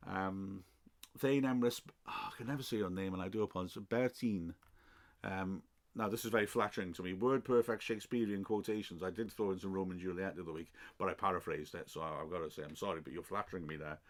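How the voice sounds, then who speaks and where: Deep gravelly welsh guy, football commentator rambling thru listener questions, lots of ums. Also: fast. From Wales. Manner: chatty and self-deprecating, with frequent ums and restarts. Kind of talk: casual podcast chat.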